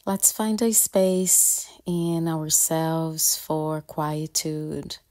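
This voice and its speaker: A woman guiding a meditation in a calm, gentle way, leaving long pauses between short phrases. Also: deep voice. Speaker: meditation guide. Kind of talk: guided meditation, soft and soothing instruction.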